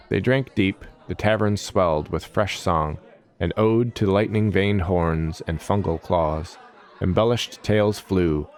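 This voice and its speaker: Deep-voiced man narrating fantasy. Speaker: storyteller. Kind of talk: dramatic scripted fantasy narration.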